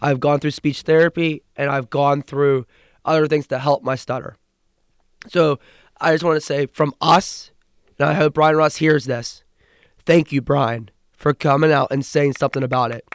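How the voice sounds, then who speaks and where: A young man co-hosts a sports podcast in a casual, earnest way and stumbles through a stutter with restarts. His voice sits a little high and sounds smooth and resonant. It is recorded dry and close, with no room sound. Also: steady tone, normal speed, no echo. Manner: earnest, with restarts and repeated words. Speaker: podcast co-host.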